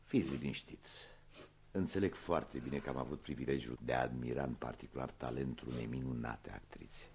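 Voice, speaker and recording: An actor playing a shrewd police inspector in a radio play, measured, courteous and insinuating, with a gravelly, husky voice. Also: male voice, rumbling low, darkish tone, slight background noise. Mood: courteous, knowing. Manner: measured, insinuating, theatrically polite.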